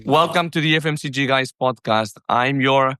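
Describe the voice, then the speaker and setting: A man hosting a podcast about business, talking in an energetic, chatty way with frequent self-corrections. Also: mid-range voice, moderate pace, slight background noise. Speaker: podcast host. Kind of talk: casual podcast interview.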